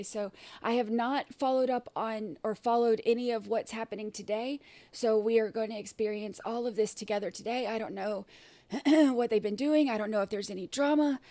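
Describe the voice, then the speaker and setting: A woman hosting a casual livestream, her throat scratchy and irritated, clearing it often and rambling with many fillers and restarts. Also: on the high side, dark tone, no room sound. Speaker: livestream host.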